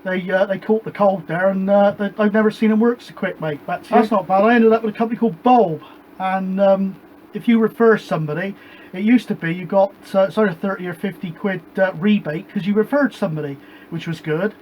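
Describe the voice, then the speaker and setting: A man's voice: high voice, a little fast, darkish tone, a little hiss or hum, poor audio. A British man chatting casually on a CB radio net from his home shed, rambling and handing each turn back to the others. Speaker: CB radio operator and show host. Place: home shed radio room with a gas fire.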